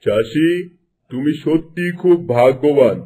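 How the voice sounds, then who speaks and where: A man's voice: medium pitch, animated, unhurried, dark tone, breathy edge. A man voicing a vain, greedy, scheming king in a cartoon, with an imperious, commanding manner. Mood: commanding, sly. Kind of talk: Bengali cartoon dubbing.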